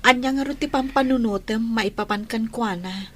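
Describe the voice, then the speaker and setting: A woman's voice: on the high side, normal speed, slight background noise, close to an everyday voice. A woman playing a caring, attentive village wife with an Ilocano accent in a radio drama. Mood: concerned.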